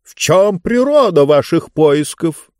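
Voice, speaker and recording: Man as a pompous storybook tyrant, greedy, theatrical, slow emphatic delivery, raised swooping pitch, dry sound. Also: big pitch swings, darkish tone, no echo. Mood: haughty questioning. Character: pompous, greedy tyrant with a huge mouth, savouring words. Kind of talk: audiobook character voice.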